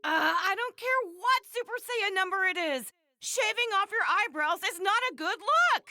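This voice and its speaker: A woman's voice: extremely high pitch, lively intonation, moderate pace, sharp tone, dry recording, clean studio sound, full character voice. A woman voicing an exasperated, nagging housewife and mother in an anime video game dub, with fretful, scolding delivery. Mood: appalled. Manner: fretful, scolding, dramatic complaining. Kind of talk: English-dubbed anime game voice acting.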